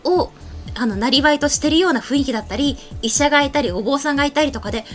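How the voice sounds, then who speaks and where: Young woman chatting anime on a show, talks fast, faint music behind her. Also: high voice. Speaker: co-host of an anime talk show. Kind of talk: casual anime review chat. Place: small indoor studio set. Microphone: desk microphone.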